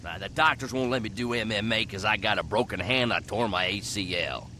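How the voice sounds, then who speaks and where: Sharp, hot-headed injured fighter. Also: male voice, fairly low pitch, a little fast, a little hiss or hum. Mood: frustrated. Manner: aggressive, swearing, loud outbursts. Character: hot-headed injured male fighter, brash and foul-mouthed. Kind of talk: video game cutscene acting.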